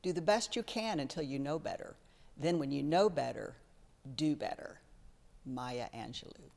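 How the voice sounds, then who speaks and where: A woman's voice: low voice, animated, normal speed, creaky, darkish tone. A woman, a church member, reading quotations aloud in a solemn, scripted way. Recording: long hall reverb.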